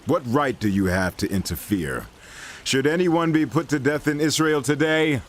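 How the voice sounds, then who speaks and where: A man's voice: mid-range voice, lively intonation, moderate pace, natural voice. An actor playing a firm yet merciful king, speaking with declamatory authority in a dramatized audio Bible. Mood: indignant.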